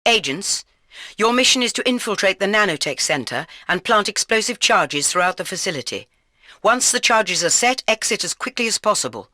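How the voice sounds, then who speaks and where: A woman's voice: mid-range voice, very animated, normal speed, sharp tone, dry recording, clean studio sound. A woman playing a stern British spy chief, giving clipped, formal orders as video game mission briefings. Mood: stern, composed.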